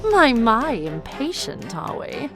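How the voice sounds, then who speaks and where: A woman's voice: fairly high pitch, very animated, slow. A woman voicing a smug, taunting villainess with a short temper in an anime-style audio drama, theatrical and mocking. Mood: smug, teasing. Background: music.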